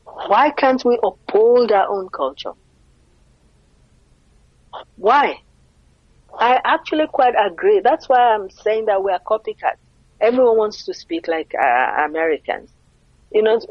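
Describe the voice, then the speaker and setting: A woman's voice: mid-range voice, animated, unhurried, long pauses, no echo, highs cut off. A middle-aged Nigerian woman with a Nigerian accent, speaking earnestly as an interview guest and often asking rhetorical questions. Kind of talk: conversational interview.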